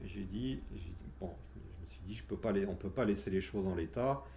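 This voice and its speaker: A man's voice: deep voice, a little fast, gravelly, mellow, slight background noise. A middle-aged man telling his personal story in a casual, hesitant way, with many fillers and restarts. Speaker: vlogger telling his spiritual journey.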